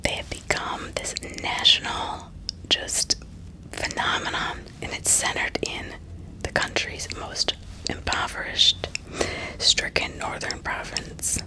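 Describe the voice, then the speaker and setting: Slow whispering woman, ASMR narrator. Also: sharp tone, poor audio. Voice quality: breathy, mostly unvoiced whisper. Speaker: ASMR host.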